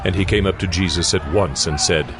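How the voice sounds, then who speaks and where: A man's voice: deep voice, brisk, crowd noise. A man narrating a dramatized scripture story from a script.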